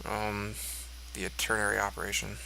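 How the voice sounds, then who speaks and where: A man's voice: fairly low pitch, monotone, moderate pace, vocal fry, background noise. A congested man thinking aloud as he walks through code on screen, musing and offhand. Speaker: code reviewer. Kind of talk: screen-recorded code walkthrough.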